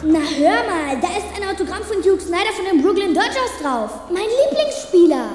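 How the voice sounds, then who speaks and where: A woman's voice: very high voice, sing-song, moderate pace, darkish tone, a little hiss or hum. A woman playing a friendly, earnest young boy ghost in a German audio drama. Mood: proud, boyish. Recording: very echoey, distant, music behind.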